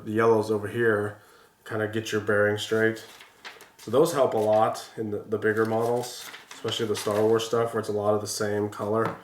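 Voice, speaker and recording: Vlogger, male, stuffy sinus voice, loose rambling chat, lowish pitch, roomy indoor sound. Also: slight background noise. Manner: rambling, frequent restarts and fillers. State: congested from a sinus infection. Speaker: vlogger building toy sets. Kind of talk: casual chat while building.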